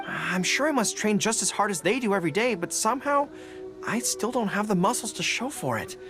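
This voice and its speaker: A woman voicing a youthful, earnest and modest dog-eared general in a video game, expressive and a little hesitant, with music behind. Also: medium pitch, lightly acted. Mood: wistful, earnest. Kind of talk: scripted game dialogue. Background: background music.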